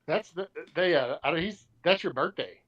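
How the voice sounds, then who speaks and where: A man's voice: fairly high pitch, animated, moderate pace, highs cut off. A man on a podcast panel chatting casually, blunt and sardonic, with some swearing. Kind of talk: casual chat.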